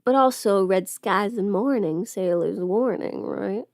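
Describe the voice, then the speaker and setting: A woman's voice: mid-range voice, unhurried, darkish tone, no echo, studio quality. A woman livestreaming in casual, rambling chat as she does her makeup, informal and mildly sweary. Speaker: livestream host.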